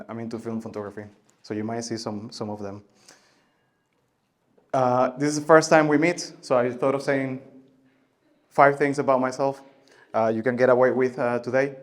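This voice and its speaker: Man giving a halting tech talk. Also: mid-range voice, fairly even intonation. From Venezuela. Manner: halting, many filler sounds and restarts, loudness rising and falling. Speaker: conference speaker. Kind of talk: conference talk.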